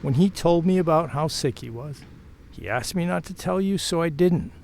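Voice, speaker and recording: A frank, protective mill worker in an audio play. His voice sits a little high for a man, and he talks plainly and conversationally, reassuring a former lover. Faint noise runs behind him. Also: lively intonation, moderate pace, gravelly, darkish tone. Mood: frank, consoling. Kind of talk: audio drama acting.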